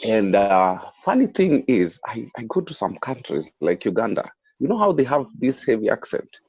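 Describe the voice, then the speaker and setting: Through a dull, lo-fi connection, a Kenyan-accented man gives his view in casual discussion. His fairly low voice moves up and down in a lively, animated way, with very little room sound. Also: normal speed, breathy edge, dry recording. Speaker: guest.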